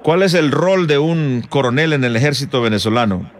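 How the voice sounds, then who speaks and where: A man's voice: mid-range voice, animated, brisk, slight background noise. A man hosting an interview programme, presenting and asking questions in a conversational broadcast style. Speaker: radio show host, interviewer. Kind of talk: scripted introduction and conversational interviewing.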